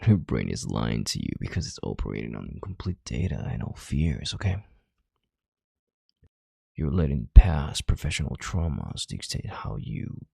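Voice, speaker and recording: Casting: male actor as an arrogant, controlling executive, extremely low gravelly voice, breathy half-whisper, close and dry, for intimate audio roleplay. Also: dark tone, no room sound, heavily acted. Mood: firm, reassuring. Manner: slow, deliberate, condescending, authoritative. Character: calm, controlling, arrogant CEO soothing his analyst.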